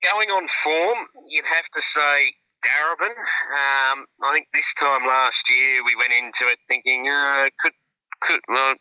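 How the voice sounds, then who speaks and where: Chatty Australian radio football pundit. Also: male voice, medium pitch, unhurried, smooth, no room sound, highs cut off. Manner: relaxed, chatty, with frequent fillers and restarts. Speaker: football pundit, regular guest. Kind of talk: casual radio sports analysis.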